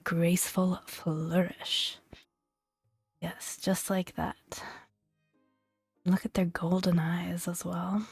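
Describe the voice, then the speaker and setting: A woman speaking softly in a hushed, near-whispered ASMR style, gentle and soothing as she narrates. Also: lowish voice, breathy edge, no echo, clean studio sound. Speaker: gaming ASMR video creator. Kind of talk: soft-spoken ASMR narration, reading and casual commentary. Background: faint soft music.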